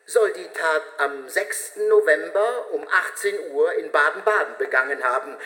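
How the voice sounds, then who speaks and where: Casting: male narrator, slightly high voice, factual scripted delivery for radio drama, very roomy reverberant sound. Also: bright, forceful tone. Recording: echoing room with long reverb.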